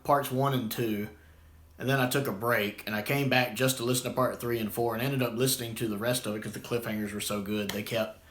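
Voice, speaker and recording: A man's voice: mid-range voice, bright, forceful tone, a little hiss or hum. Man reviewing casually in an echoey room. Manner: rambling, conversational.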